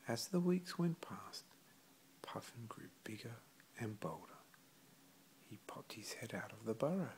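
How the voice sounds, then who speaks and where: A man reading a children's story aloud expressively, with gentle, deliberate phrasing. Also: medium pitch, big pitch swings, a little hiss or hum, rough recording. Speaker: storybook reader.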